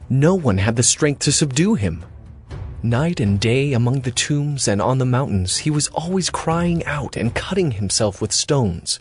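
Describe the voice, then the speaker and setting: A man's voice: medium pitch, lively intonation, measured pace, faint music behind. A man reading scripted narration for a dramatized audio Bible.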